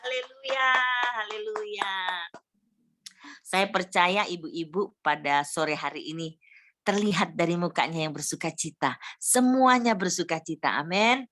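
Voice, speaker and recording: A woman's voice: fairly low pitch, a bit bright. A woman leading a women's church service, calling out songs and praise and drawing out her words in a sing-song manner. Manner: drawn-out, sing-song calls to the congregation. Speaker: worship leader. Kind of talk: leading congregational singing and praise.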